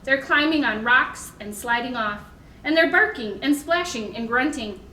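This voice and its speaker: High-voiced American woman leading toddler storytime. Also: expressive, normal speed, pushed and bright, distant mic. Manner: simple questions and encouragement addressed to small children. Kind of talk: picture-book reading and sing-along for toddlers. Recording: echoey room with faint background noise.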